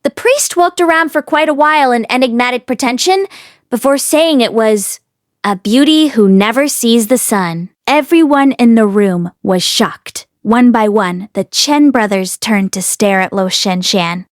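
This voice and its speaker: A woman narrator reading a story aloud in an expressive storytelling style. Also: on the high side, unhurried, no echo, studio quality. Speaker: audiobook narrator.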